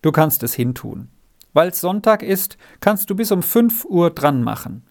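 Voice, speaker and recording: A woman's voice: lowish voice, very animated, unhurried, a little mellow, pitched above the performer's own voice. Woman as a stern, domineering farmer's wife. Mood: stern command. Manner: stern, commanding, threatening.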